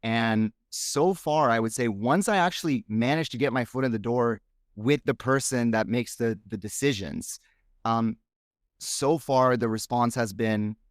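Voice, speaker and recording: A man's voice: medium pitch, no echo, clean studio sound. A man from Romania, whose first language is Romanian, speaking as a podcast guest in a frank, reflective, casual interview chat. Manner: frank, reflective, thinks aloud.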